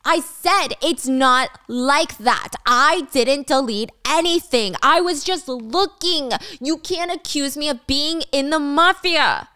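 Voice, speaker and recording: A woman's voice: fairly high pitch, quick pace, hardly any pauses, dry recording, clean studio sound, close to an everyday voice. A woman voicing a whiny, defensive young man who protests and pleads in a dramatic story.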